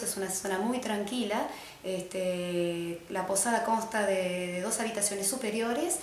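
Middle-aged woman, hospitality manager explaining things to camera, medium pitch, roomy sound. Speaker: inn manager. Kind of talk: interview presentation to camera. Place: indoor living room. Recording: echoey room with faint noise.

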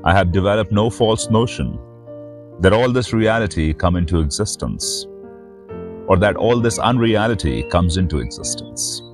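A man with a deep voice speaks as a spiritual teacher presenting sacred verse. His delivery is solemn and measured, shaped like a devotional recitation. Soft instrumental music plays faintly underneath. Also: lively intonation. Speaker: spiritual teacher and reader, host of the series. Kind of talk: slow devotional reading of sacred verse with short host remarks.

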